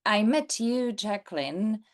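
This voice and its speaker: A woman from the Czech Republic with a Czech accent, a coach chatting in an interview, halting and repeating words as she searches for phrasing. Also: medium pitch, lively intonation. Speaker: transformative coach and mentor. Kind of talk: casual interview chat.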